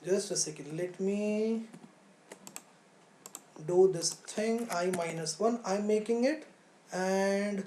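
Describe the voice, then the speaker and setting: A woman's voice: mid-range voice, dark tone, slight background noise. Woman teaching in an echoey room. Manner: halting, thinking aloud, repeats phrases for emphasis; poses questions and answers them. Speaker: coding teacher. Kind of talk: live online tutorial, explaining step by step. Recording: roomy, echoey sound.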